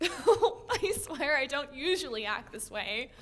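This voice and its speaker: A woman's voice: high voice, big pitch swings, slow, full character voice. A woman playing a dramatic, earnest, lovestruck teenage girl in a comedic audio drama, gushing and theatrical. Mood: smitten, confiding. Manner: gushing, theatrical, exasperated with family.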